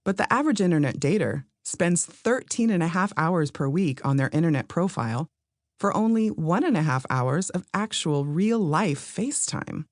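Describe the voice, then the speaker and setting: A woman with a low-pitched voice reads scripted nonfiction in a measured, explanatory manner. Her intonation moves around a lot as she addresses the listener directly. The sound is very dry, with no room echo. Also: animated. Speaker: author reading own audiobook.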